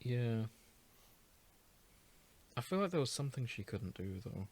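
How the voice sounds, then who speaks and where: A man's voice: medium pitch, sing-song, unhurried, darkish tone, slightly rough. A man hosting a casual chat podcast, explaining a story in a storytelling way. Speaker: podcast host.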